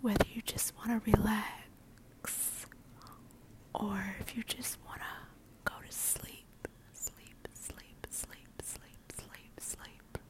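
Slow whispering woman, soft and soothing. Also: mid-range voice, flat delivery. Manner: soft unvoiced whisper throughout; slow, soothing, repeats words fading away. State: nervous. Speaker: podcast host. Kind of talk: ASMR whispered monologue.

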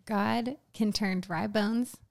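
Female guest, 20s, faith-driven, gentle fry. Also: medium pitch, steady tone, takes it slowly. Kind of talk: casual faith talk on a podcast.

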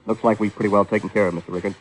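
An American actor playing a cool, sardonic, teasing private detective in a vintage 1940s radio drama. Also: male voice, on the low side, steady tone, racing, lightly acted. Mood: confident, probing. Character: wisecracking, cool-headed male private detective, flirtatious with women.

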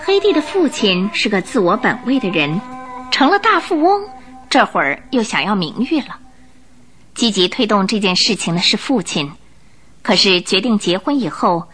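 A woman narrating a radio drama in an expressive, emotive storytelling style from a script. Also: medium pitch, normal speed, slightly rough. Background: music.

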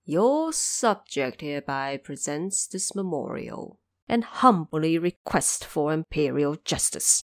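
A woman's voice: deep voice, sing-song, dry recording, heavily acted. A woman voicing an aggrieved old male dragon king, making a formal, humble plea in an audio drama. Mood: aggrieved pleading. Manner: formal, pleading, slow.

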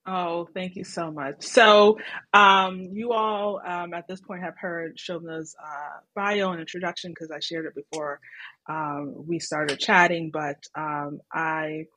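A woman's voice: lowish voice, fairly even intonation, takes it slowly, bright, forceful tone, poor audio. A woman hosting a podcast interview, speaking in a friendly way that is hesitant and full of fillers. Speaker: podcast host.

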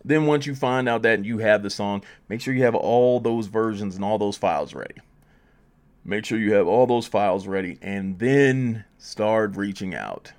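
A man with a fairly low voice talks like an informal coach giving practical advice. His delivery is conversational and loose, and he often repeats a phrase to drive the point home. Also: moderate pace. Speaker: music promotion advice presenter. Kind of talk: casual conversational advice talk.

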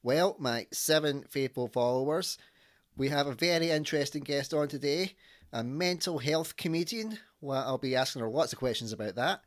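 A man's voice: fairly high pitch, lively intonation, moderate pace. Jokey Scottish man in his thirties. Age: 30s. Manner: jokey, self-deprecating. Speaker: podcast host. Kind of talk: casual interview chat.

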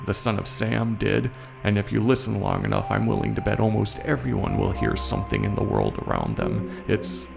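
A man playing a bitter, haunted military veteran in a gravelly, confiding horror story monologue, profane and speaking straight to the listener. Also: lowish voice. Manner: conversational, confiding, addresses the listener directly. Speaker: narrator of a horror short story. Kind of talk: scripted first-person horror monologue. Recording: voice over a music soundtrack.

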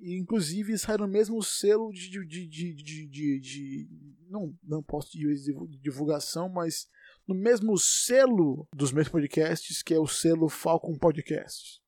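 A man's voice: a little high, measured pace, dark tone, no echo, studio quality. Young Bahian man hosting a solo podcast. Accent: Bahian Portuguese. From Salvador, Bahia, Brazil. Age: 20s. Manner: rambling, self-correcting, thinks aloud. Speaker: podcast host. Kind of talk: unedited solo podcast monologue.

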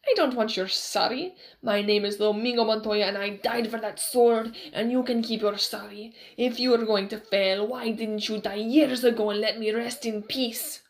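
A woman voicing a stern older man, a scornful father who scolds forcefully. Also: on the high side, fairly even intonation, normal speed, few pauses, close to an everyday voice. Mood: bitter anger.

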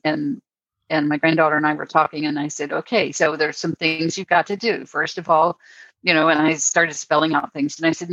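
An older woman hosts a casual podcast chat, talking at an unhurried pace. Her voice sits very low, and the recording is dry and a bit dull, like a lo-fi call. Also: a bit bright, no echo. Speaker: podcast host.

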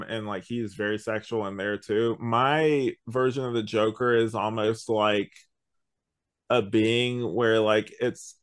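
A man co-hosting a podcast in casual chat, explaining things in a rambling, halting way with many false starts. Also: medium pitch, clean tone. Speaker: podcast co-host reviewing an audio drama.

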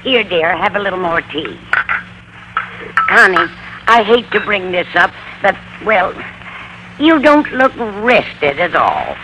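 A woman's voice: on the low side, big pitch swings, takes it slowly, pauses often. A woman playing a dotty, easily offended older landlady in an old radio comedy, fussy and dramatic in manner. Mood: motherly concern. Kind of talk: 1940s-50s radio comedy acting. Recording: noisy old-time radio recording.

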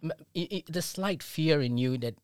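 Earnest middle-aged guest, mid pitch, halting restarts, dry recording. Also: male voice, clear, resonant tone, dry recording. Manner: earnest, repeats words when starting sentences. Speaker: business owner guest. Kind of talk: casual interview chat.

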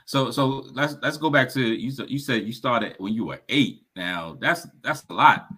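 A man's voice: mid-range voice, normal speed, sharp tone, breathy edge. A man hosting a casual podcast interview who often answers "mm-hmm" and restarts his questions. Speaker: podcast host.

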